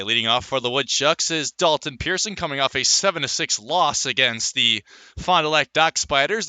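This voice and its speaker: A man calling live baseball play-by-play as a radio announcer, filling the gaps between pitches with measured runs of stats. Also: bright, forceful tone, no room sound. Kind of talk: live sports play-by-play commentary.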